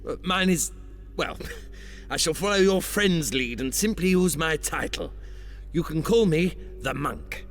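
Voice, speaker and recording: Coaxing pantomime schemer, patronising, swooping intonation, slightly high voice, audio drama, music underneath. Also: male voice, animated, a little slow, fairly bright tone. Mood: smooth, ingratiating.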